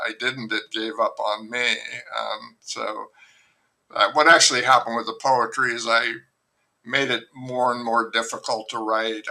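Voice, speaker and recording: A novelist talking in a relaxed interview, hesitant and rambling, with many fillers and restarts. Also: male voice, medium pitch, poor audio. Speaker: novelist guest. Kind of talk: casual interview conversation.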